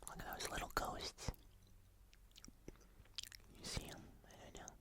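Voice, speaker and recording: An ASMR host speaking entirely in a soft, unvoiced whisper, chatting casually through a food review. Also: measured pace.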